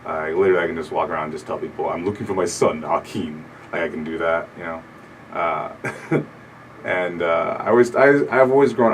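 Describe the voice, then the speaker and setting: A young comedian with a very deep, low voice, talking in a loose, hesitant way with plenty of fillers. He sits a bit back from the studio mic, and a faint hiss runs under his voice. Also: distant mic, poor audio. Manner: relaxed, rambling, hesitant with many fillers. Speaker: comedian guest. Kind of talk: casual radio interview. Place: small radio studio.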